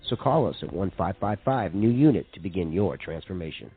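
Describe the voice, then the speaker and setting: Casting: man as salesy, earnest commercial announcer, lowered voice, parody radio spot, phone-like sound. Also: big pitch swings, fairly quick, breathy edge, full character voice. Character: earnest male announcer for a clinic advertisement. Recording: tinny narrowband audio with music.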